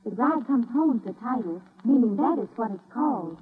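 A woman's voice: a little high, animated, normal speed, natural voice. A woman playing a coy young showgirl who talks in rhyme on a 1930s radio revue. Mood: perky. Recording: tinny 1930s radio recording with music.

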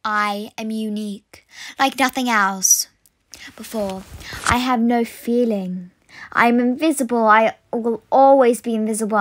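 A woman voicing air as a living element, a proud, gentle, free spirit, in a poetic dramatic monologue of short, deliberate declarations. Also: mid-range voice, close to an everyday voice. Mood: wistful.

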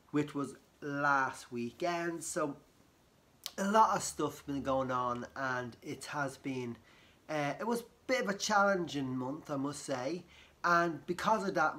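A man talks casually to camera from his sofa. His voice is croaky from a cold, and he rambles, circling back with fillers and restarts. The sound is close and homely, as if recorded in a living room. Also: medium pitch, a bit bright. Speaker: vlogger.

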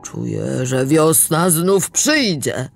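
A woman voicing a male giant in a storybook audio drama, with a drawn-out, emphatic delivery. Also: low-pitched, big pitch swings, gravelly, soft background music, heavily acted. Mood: hopeful. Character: gruff, selfish male giant who later softens.

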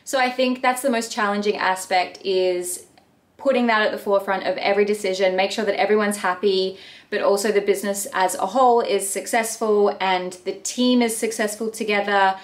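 A woman's voice: mid-range voice, steady tone, brisk. A woman in her thirties, a bakery owner, chatting earnestly to camera from her bedroom in a casual question-and-answer video. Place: bedroom at home.

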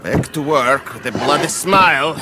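A man's voice: a little high, expressive, unhurried, noisy background. A male actor playing a bitter, boastful inventor in a video game, ranting grandly in broken English with an Eastern European accent. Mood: bitter, sarcastic. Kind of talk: video game character acting.

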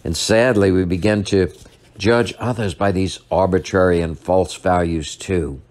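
An older man, a priest, delivering a sermon at a church lectern, retelling a story in a measured way and slowing down for emphasis. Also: sits low, steady tone, darkish tone.

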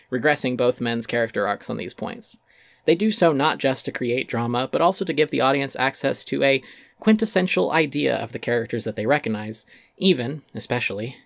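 A man's voice: mid-range voice, vocal fry, no room sound, studio quality. A man giving a dramatic reading of a critical essay, narrating with emphatic, sardonic delivery and some swearing.

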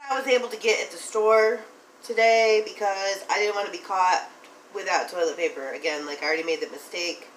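Woman, thirties, mid-pitched voice, casual home vlog, informal and affectionate, faint background noise. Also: steady tone, fairly quick, far from the mic. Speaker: vlogger. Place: home kitchen, indoors. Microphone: phone at arm's length. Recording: noisy home phone recording.

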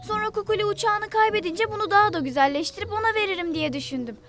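A performer voicing a sweet, helpful young animal in a children's cartoon, earnest and expressive. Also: extremely high pitch, fast talker. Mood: hurt, explaining. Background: cartoon background music.

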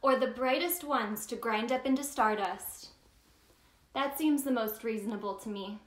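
Woman playing a high, bubbly Tooth Fairy. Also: moderate pace, roomy sound, lightly acted. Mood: playful, wondering. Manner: exaggerated, sing-song, talks directly to the child listener. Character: cheerful, bubbly Tooth Fairy telling stories to children. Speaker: children's story time host. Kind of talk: animated read-aloud for young children.

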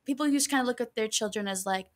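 A woman's voice: fairly high pitch, very fast. A woman in her twenties, a panel guest, sharing her views in a thoughtful, casual way. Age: 20s.

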